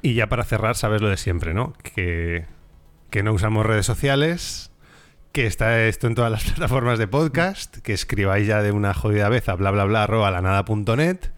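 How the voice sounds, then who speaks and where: Male podcast host, lowish voice, rambling and jokey, lots of fillers, dry close mic. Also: moderate pace, clean tone, dry recording. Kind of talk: casual podcast chat.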